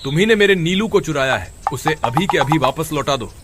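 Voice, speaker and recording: A rather high, animated voice from a man playing a caring village farmer in a children's moral cartoon. He is earnest and expressive, with clear noise behind him. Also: fairly quick, natural voice. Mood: angry, stern. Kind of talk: children's cartoon story dialogue. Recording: noisy background.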